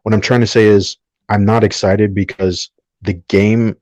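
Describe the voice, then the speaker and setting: A man's voice: lowish voice, level tone, unhurried, a little mellow, no room sound. A man speaking as a podcast panelist in a casual discussion, opinionated and often tagging his points with a question to seek agreement.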